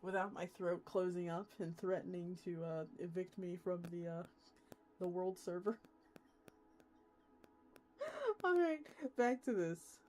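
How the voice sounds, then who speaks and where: A woman's voice: on the low side, slow pace, pauses often, faint music behind. Casual woman streamer chatting to viewers. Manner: informal, animated reactions, addresses viewers. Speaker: streamer hosting a live read-along. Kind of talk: casual livestream chat, reacting and reading aloud.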